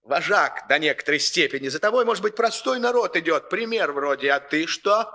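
A man's voice: fairly high pitch, expressive, fairly quick, bright, forceful tone. A man acting in a 1960s radio play as a stern, moralizing boss, hectoring and emphatic as he scolds the young. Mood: stern accusing.

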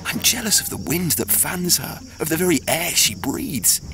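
A man's voice: on the high side, big pitch swings, normal speed, noisy background. An actor playing a desperate, disgraced young Englishman who pleads in anguish in a radio play.

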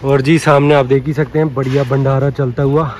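A man's voice: mid-range voice, flat delivery, a little fast. A man vlogging a road trip, chatting casually to viewers while filming outdoors and around a rest house. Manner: chatty, keeps saying "so ji" and "dekh sakte ho". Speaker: travel vlogger. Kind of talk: handheld travel vlog narration.

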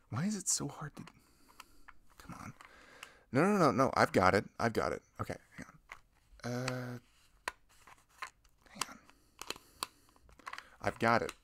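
A man playing a shy, sweet, flustered best friend in an intimate ASMR roleplay, hesitant, stammering and soft-spoken. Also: fairly low pitch, gravelly, no echo, heavily acted. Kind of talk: ASMR roleplay, intimate one-sided dialogue.

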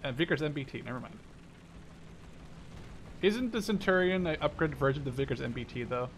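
A man of medium pitch talks casually through a video game with a friend. He jokes and swears as he comments on the action, over clearly audible game noise. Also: expressive, fairly quick. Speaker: gamer playing with a friend. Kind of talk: casual gaming chat, commentary.

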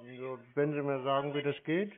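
A man's voice: medium pitch, fairly even intonation, moderate pace. A man talking casually on the phone in an obtuse, needling way as a prank caller. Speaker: scam-baiting prank caller. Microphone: telephone. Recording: phone-like sound with office chatter.

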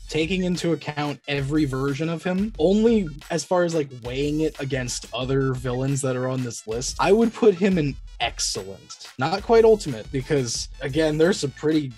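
A man's voice: on the high side, normal speed, few pauses, a little mellow. Blunt young man, casual, music behind. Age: young adult. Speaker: co-host of a cartoon ranking show. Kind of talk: casual chat. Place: small room indoors. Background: background music.

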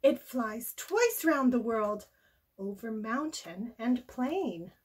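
An older woman reading a children's story aloud in an animated, sing-song, expressive way. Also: on the high side, slow pace, slightly rough. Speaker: storybook read-aloud host.

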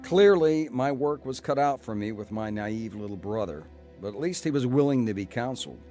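Actor as cynical, stubborn American older brother. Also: male voice, mid-range voice, animated, moderate pace, mellow, faint music behind, natural voice. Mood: regretful narration. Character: proud, cynical, stubborn older brother, self-made man. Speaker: actor narrating and playing the lead. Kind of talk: dramatized first-person audio drama.

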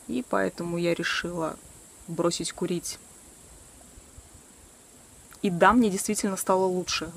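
A woman's voice: lowish voice, a little hiss or hum. Woman, early forties, candid chatty vlog talk, self-mocking, filmed outdoors on a selfie stick, crickets behind. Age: 40s. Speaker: vlogger. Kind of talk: casual talk to camera. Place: outdoors by a wooden shed in a garden. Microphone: selfie stick camera at arm's length.

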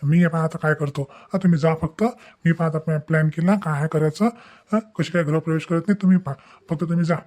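A man's voice: on the high side, fairly even intonation, fast talker, darkish tone, close to an everyday voice. A man playing an assertive older father-in-law with a Varhadi Marathi accent in a cartoon family drama, insistent and repeating his reassurances. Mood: reassuring, determined. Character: assertive older father-in-law determined to help the young couple.

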